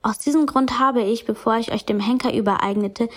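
An actress playing a stern crown princess who formally interrogates two runaways in a radio drama. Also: female voice, fairly high pitch, brisk, close to an everyday voice. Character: stern young crown princess passing judgment.

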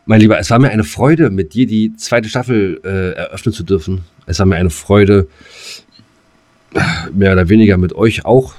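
A man's voice: lowish voice, normal speed, darkish tone, no room sound. A man co-hosting a podcast, chatting in a casual, colloquial way with cheeky, teasing jokes. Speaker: podcast co-host. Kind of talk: casual joking banter.

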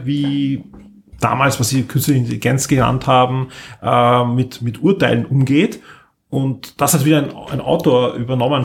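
Enthusiastic, rambling man chatting. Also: mid-range voice. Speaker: podcast co-host. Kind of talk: casual podcast chat, recommending audio dramas.

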